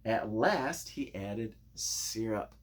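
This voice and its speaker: A man narrating a children's storybook in an expressive, playful way, speaking directly to young listeners. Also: fairly low pitch, very slow. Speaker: storybook reader.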